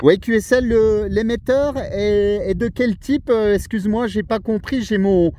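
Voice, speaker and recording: High-pitched Frenchman chatting on the radio. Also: a little slow, background noise. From France. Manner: friendly, courteous. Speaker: amateur radio operator. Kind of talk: casual radio contact chat. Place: outdoors on a small mountain summit.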